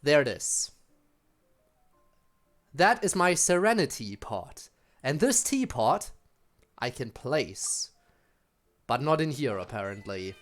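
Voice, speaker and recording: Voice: medium pitch, big pitch swings, takes it slowly, pauses often. A streamer chatting casually over gameplay in a wry, informal manner. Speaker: game streamer. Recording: voice over game music.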